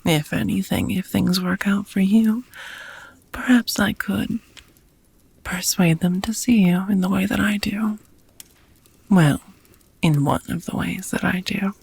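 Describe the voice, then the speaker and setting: A woman playing a seductive, doting vampire roommate in an intimate ASMR romance roleplay, whispering softly with teasing, formal endearments. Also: medium pitch, exaggerated voice. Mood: tender, encouraging. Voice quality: soft, breathy whispering. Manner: slow, sultry, formal wording with pet names.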